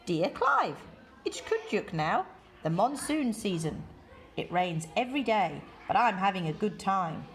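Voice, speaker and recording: A woman reading a children's picture book aloud in deliberate, measured phrases with expressive emphasis. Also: mid-range voice, a little hiss or hum. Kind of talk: read-aloud children's story.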